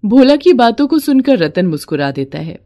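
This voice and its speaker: A woman's voice: fairly high pitch, sing-song, moderate pace, mellow. A woman narrator reading a scripted bedtime moral story.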